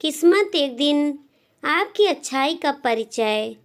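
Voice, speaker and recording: A woman narrating a scripted moral story as a storyteller, in deliberate phrases with frequent pauses. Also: high-pitched, animated.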